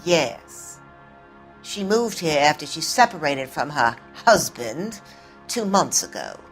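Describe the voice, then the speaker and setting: A resentful woman witness in a 1940s crime game. She speaks bluntly and guardedly in a lowish voice that swings widely in pitch, with soft music behind her. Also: big pitch swings, slow, full character voice. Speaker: voice actor in a detective video game. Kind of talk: scripted dramatic game acting.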